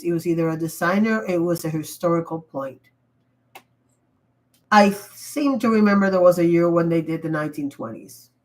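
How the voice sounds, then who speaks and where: A woman's voice: fairly low pitch, low-quality recording. A woman hosting a casual livestream while sick and losing her voice, talking in an emphatic, rambling way with frequent restarts. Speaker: livestream host. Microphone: computer mic.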